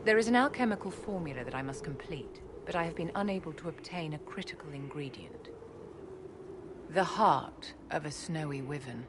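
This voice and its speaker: A low-voiced haughty enchantress. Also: female voice, animated, moderate pace, noisy background. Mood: imperious. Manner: poised, condescending, formal. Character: haughty court enchantress, imperious and secretive. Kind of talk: video game cutscene dialogue.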